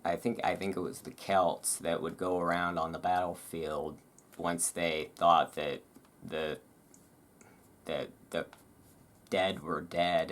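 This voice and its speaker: A person reading aloud in a relaxed way with casual, rambling asides, halting and self-deprecating about it. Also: a bass voice. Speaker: reader and host. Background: thunderstorm ambience.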